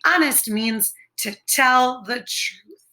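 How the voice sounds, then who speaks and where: A woman's voice: high-pitched, slow, sharp tone. A woman in the role of children's teacher, explaining and reading aloud in simple, carefully enunciated phrases. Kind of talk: lesson and story reading for children.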